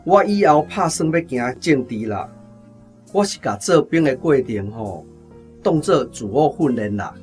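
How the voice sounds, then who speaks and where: An actor playing a brash, lazy veteran soldier with a Taiwanese accent, boastful and offhand, in a radio drama. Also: male voice, mid-range voice, expressive, unhurried, darkish tone, soft background music, natural voice. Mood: self-assured. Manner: cocky, offhand.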